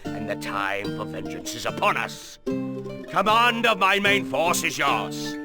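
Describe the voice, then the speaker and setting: Stately, expressive military commander. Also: male voice, medium pitch, takes it slowly, over loud music. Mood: grave, rousing. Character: earnest noble male commander briefing his young lord. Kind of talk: scripted video game mission briefing.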